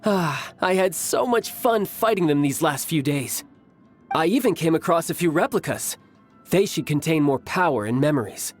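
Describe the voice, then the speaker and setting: Cocky young warrior, animated delivery. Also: male voice, fairly high pitch, normal speed. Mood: enthusiastic. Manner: breezy, self-assured, articulate. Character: cocky young male warrior, playful, battle-hungry, shrewd. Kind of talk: video game voice acting. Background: music.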